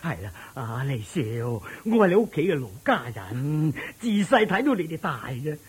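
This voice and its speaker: Husky, anxious old servant. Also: male voice, fairly high pitch, sing-song, moderate pace, heavily acted. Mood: anxious pleading. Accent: Hong Kong Cantonese. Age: older. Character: anxious, loyal old male family servant. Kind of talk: Cantonese radio drama acting.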